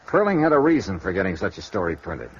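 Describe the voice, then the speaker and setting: A wry, easygoing tough-guy investigator narrates and trades dry remarks, his pitch moving a lot as he talks. The recording is dull and lo-fi, like a vintage broadcast. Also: sing-song, quick pace, slight background noise. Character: wry, tough adult male insurance investigator. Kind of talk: 1950s radio noir narration. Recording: dull old radio broadcast.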